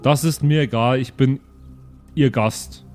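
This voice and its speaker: Man playing a deadpan, naive male oddball. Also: mid-range voice, a little slow, soft background music, close to an everyday voice. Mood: naively curious. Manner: deadpan, literal-minded.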